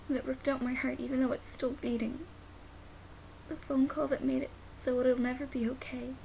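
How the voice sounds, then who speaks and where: A young woman playing a grieving, guilt-ridden daughter in a dramatic monologue, halting and sometimes nearly whispering. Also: high voice, vocal fry, a little mellow, poor audio. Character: grieving, guilt-ridden daughter who lost her father. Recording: noticeable background hiss.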